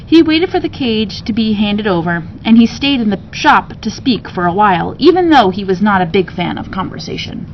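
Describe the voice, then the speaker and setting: A woman's voice: medium pitch, expressive, moderate pace, hardly any pauses, lo-fi sound, slight background noise. A woman reading a children's story aloud expressively, like a teacher to students, with casual asides.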